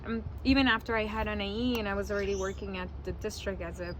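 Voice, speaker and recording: A woman's voice: medium pitch, steady tone, fast talker, fairly bright tone, slight background noise. A woman in her forties who was born in Mexico, answering interview questions as a wedding planner in a candid, casual way, laughing often and swearing now and then.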